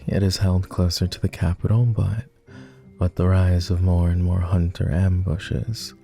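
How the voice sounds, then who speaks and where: A man voices a refined, doting vampire gentleman for romantic roleplay audio. His voice is very low with a gravelly creak, and he speaks in slow, formal phrases. He is courtly and affectionate, with a gentle teasing streak. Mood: fond, measured. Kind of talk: romantic roleplay ASMR acting.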